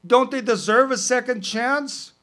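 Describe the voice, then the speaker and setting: A man's voice: very high voice, unhurried, fairly bright tone, voice pushed up. A man reading a poem aloud, voicing a fussy, anxious male parrot in prim, indignant tones. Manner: worried, indignant, prim speech. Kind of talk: poetry reading, acted dialogue.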